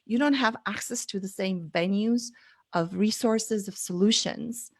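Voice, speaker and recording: A woman's voice: medium pitch, a little slow, dry recording, clean studio sound. A woman, telling an earnest story as an interview guest over a video call, with many fillers. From Bulgaria. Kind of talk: casual interview chat.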